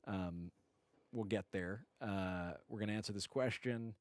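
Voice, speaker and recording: A man's voice: on the low side, unhurried, dry recording. A man hosting a casual livestream chat, greeting viewers and rambling with many fillers and restarts. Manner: greets viewers by name warmly. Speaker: livestream host.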